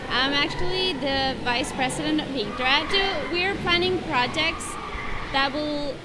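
A teenage girl talking excitedly to the camera in a hotel atrium. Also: sits high, a little slow, heavy background noise. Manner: excited, chatty. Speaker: youth club vice president. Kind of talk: casual testimonial to camera.

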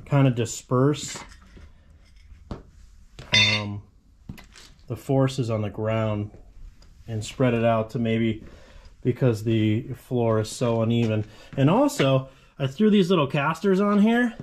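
A man's voice: mid-range voice, takes it slowly, long pauses. Casual garage fabricator explaining his build. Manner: relaxed, rambling explanations with frequent "right?". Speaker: fabrication channel host. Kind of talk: casual shop demonstration. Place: cluttered home garage workshop with tarp walls.

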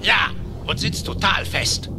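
A man's voice: high-pitched, slow pace. A man playing a proud, grumpy old duck uncle in a German cartoon audio play, grumbling and exclaiming. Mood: grumbling, defiant. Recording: music behind the voice.

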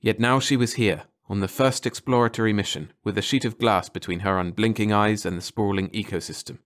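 A man's voice: lowish voice, steady tone, brisk. A man reading a scripted story as an audiobook narrator.

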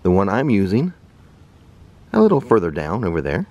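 American guy in his 40s vlogging from a kayak and park trail, lowish voice, really bouncy up and down, phone at arm's length outside. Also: wildly swooping pitch, normal speed, a little mellow. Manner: chatty, upbeat, pointing things out to viewers. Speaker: travel vlogger. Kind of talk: casual travel vlog narration. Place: outdoors at a riverside park and on a kayak. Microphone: camera held at arm's length.

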